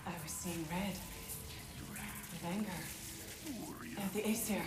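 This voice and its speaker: A woman's voice: on the low side, over loud music. Echoing, earnest female ghost messenger. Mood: dazed, urgent. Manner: halting, urgent. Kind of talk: video game voice acting. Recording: echoey, noisy ambient game scene.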